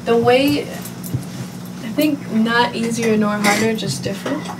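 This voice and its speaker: A woman artist as a guest on a podcast, easygoing and quick to laugh, thinking aloud as she explains her work. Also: a little high, recorded from a distance, over loud music, poor audio. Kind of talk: casual interview chat. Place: podcast studio. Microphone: set back from a studio mic.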